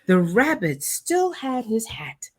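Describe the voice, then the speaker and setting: A woman reading a children's picture book aloud as a storytime host, expressive and deliberate for a young listener. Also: a little high, breathy edge.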